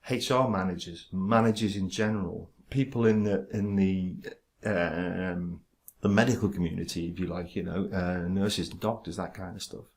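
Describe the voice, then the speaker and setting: Male, low-pitched; halting delivery with stammer control, frequent fillers and restarts. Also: steady tone. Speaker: vlogger talking about his stammer. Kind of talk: casual direct-to-camera talk.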